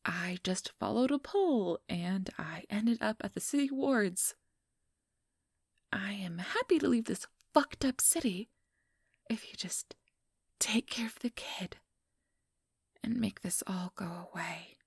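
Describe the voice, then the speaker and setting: A woman playing a reluctant, defiant young vampire in a whispered ASMR roleplay, with a breathy, blunt, weary delivery. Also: medium pitch, animated, takes it slowly, long pauses, no room sound, studio quality, exaggerated voice. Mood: exasperated, pleading. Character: defiant, foul-mouthed young female vampire sire, reluctant.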